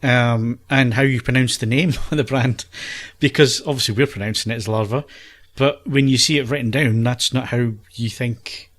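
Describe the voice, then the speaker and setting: A man's voice: mid-range voice, steady tone, moderate pace, a bit bright. A man hosting a podcast, talking casually.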